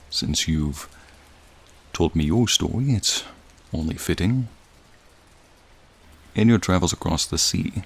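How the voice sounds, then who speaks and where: Deep and unhurried, this is a friendly, centuries-old dragon played by a man in a soothing ASMR roleplay. The voice is low and gravelly, with long, slow phrasing. It speaks with gentle formality and a wistful, lonely temper. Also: full character voice. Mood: reflective. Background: rain and raindrops.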